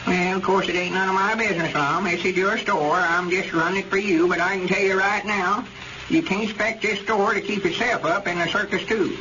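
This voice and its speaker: Man playing a high-voiced folksy old country grandpappy. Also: steady tone, brisk, natural voice. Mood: grumbling. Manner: folksy rural dialect, slightly stammering. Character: old country grandpappy storekeeper, plain-spoken and grumbling. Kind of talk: 1930s radio comedy acting. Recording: muffled, noisy old radio recording.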